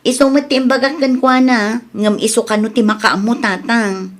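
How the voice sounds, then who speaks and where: Woman acting high-voiced, worried young-sounding daughter. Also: fairly even intonation, moderate pace, close to an everyday voice. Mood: concerned. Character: worried, earnest adult daughter pressing her friend. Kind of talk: Ilocano radio drama acting.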